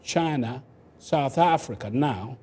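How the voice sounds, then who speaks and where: A man's voice: medium pitch, animated, slow pace, mellow. An older man with a Ghanaian accent, explaining his work as an interview guest. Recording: clear background noise.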